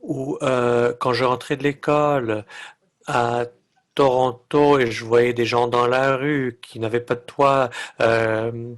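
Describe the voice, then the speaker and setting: Slow, halting male interpreter. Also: medium pitch, steady tone. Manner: halting, phrase-by-phrase delivery. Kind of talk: live interpretation of a webinar talk.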